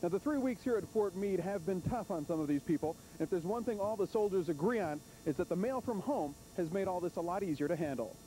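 Quick high-pitched male TV reporter. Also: lively intonation. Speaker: TV field reporter. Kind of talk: live news report. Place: outdoors at an army base, on location. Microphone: handheld reporter microphone. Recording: faint hiss and rumble, old TV broadcast.

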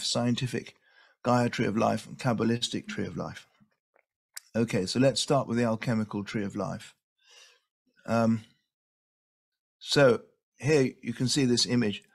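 Older male presenter from Venezuela, soft and unhurried, heard over a video call. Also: mid-range voice, creaky, breathy edge. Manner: soft, unhurried, repeats words while thinking. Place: home room with window.

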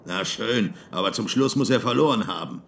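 A man's voice: lowish voice, normal speed, fairly bright tone, slight background noise, voice pushed up. A man voicing a scornful, confident barbarian warrior in an audiobook, blustering as he talks. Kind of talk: audiobook character acting.

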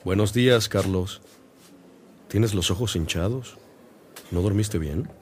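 A man playing a patient, kindly priest in a radio drama, gentle and encouraging. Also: lowish voice, slight background noise. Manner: gentle, unhurried. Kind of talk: Spanish-language radio drama acting.

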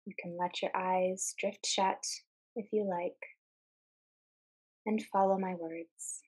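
A woman leading a guided meditation in a calm, soothing, soft voice, speaking in short phrases with pauses between them. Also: mid-range voice, slightly rough.

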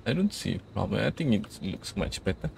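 A man's voice: on the low side, big pitch swings, a little fast, creaky, darkish tone, a little hiss or hum. A man giving casual let's play commentary, thinking aloud and reading out instructions as he goes. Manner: murmured thinking aloud, reads on-screen instructions. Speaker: gaming video host.

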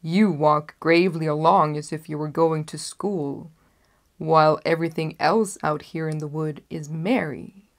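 A woman's voice: low voice, steady tone, measured pace. Woman as a crafty, coaxing storybook wolf. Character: crafty, wicked male wolf, greedy and falsely friendly.

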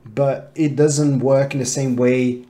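A man in his thirties with a soft-edged, mellow voice talks through a product review in a small home office. His delivery is casual and halting, as if thinking aloud while he goes. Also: medium pitch, fairly even intonation. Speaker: tech reviewer.

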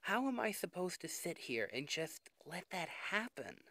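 A man playing a worried, devoted young boyfriend in an intimate ASMR roleplay, speaking in an earnest, emotional, halting way. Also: high voice, very animated, pushed and bright, no room sound, studio quality, exaggerated voice. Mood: stunned, helpless.

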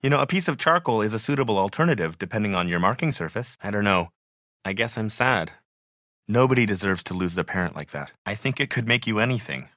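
A nerdy, mild-mannered survivor in a zombie video game. His voice sits fairly low and is recorded close and dry. He talks in an earnest, slightly hesitant way and turns pedantic when he explains things. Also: lively intonation, fast talker, a bit bright, dry recording. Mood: downcast, mild. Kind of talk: naturalistic video game voice acting.

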